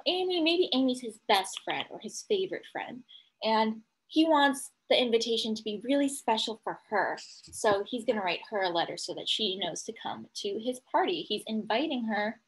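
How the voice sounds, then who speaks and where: A woman teacher reading a picture book to young children, explaining it as she goes and thinking aloud in an animated way. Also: on the high side, slightly rough, poor audio.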